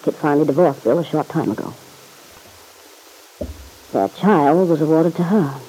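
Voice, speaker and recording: A man's voice: on the high side, normal speed, warm tone, breathy edge, poor audio. An actor playing a stern, insistent man in an old-time radio serial, blunt, slangy and accusing, pressing for the truth.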